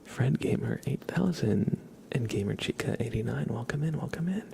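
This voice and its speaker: A man hosting a casual ASMR livestream, chatting in a breathy, gentle, unhurried whisper and softly repeating phrases. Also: medium pitch, lively intonation, a little hiss or hum. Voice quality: breathy whisper with creaky edges. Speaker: live streamer, ASMR host.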